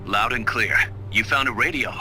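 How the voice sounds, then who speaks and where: A man playing a loyal, quick-witted military partner in a video game, crisp and attentive. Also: medium pitch, pushed and bright, faint music behind, low-quality recording. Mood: businesslike, approving. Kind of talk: dramatic video game acting.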